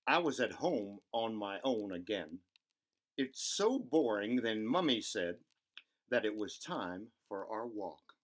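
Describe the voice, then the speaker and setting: A man's voice: on the low side, animated, takes it slowly. Man acting a wistful, lonely little storybook boy. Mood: bored, lonely. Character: lonely, timid young boy narrating a picture book.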